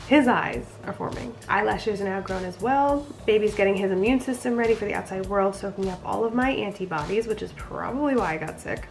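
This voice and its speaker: A woman's voice: medium pitch, a little fast, soft background music. A young woman vlogging a casual pregnancy update, sounding nasal from a cold, chatty and rambling. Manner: chatty, rambling, frequent fillers.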